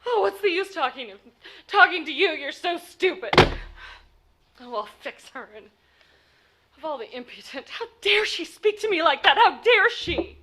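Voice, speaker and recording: Casting: frantic, demanding bedridden wife for vintage radio play; extremely high, sharp-edged delivery, indignant. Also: female voice, lively intonation, normal speed, slight background noise, heavily acted. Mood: indignant, exasperated. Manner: demanding, indignant, theatrical, nervous. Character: imperious, hysterical bedridden invalid wife, frantic on telephone. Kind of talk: 1940s radio play acting.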